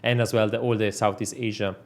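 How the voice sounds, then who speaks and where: A man's voice: on the low side, flat delivery, a little fast. Man, hesitant. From Switzerland. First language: Italian. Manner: hesitant, many fillers and restarts. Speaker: guest entrepreneur. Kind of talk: casual interview conversation.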